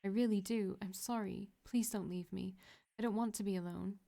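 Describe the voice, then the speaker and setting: A woman's voice: mid-range voice, fairly even intonation, moderate pace, gravelly, warm tone, lightly acted. A woman voicing a desperate, broken young woman who pleads through tears. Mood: tearful pleading. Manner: pleading, tearful.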